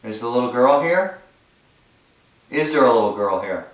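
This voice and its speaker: A man's voice: medium pitch, lively intonation, normal speed. A man investigating a haunted house, calling out to spirits in a coaxing, conversational way. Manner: coaxing, speaks to spirits directly. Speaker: paranormal investigator. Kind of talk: casual ghost-hunting talk. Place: inside a house. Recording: echoey room from far off, faint noise.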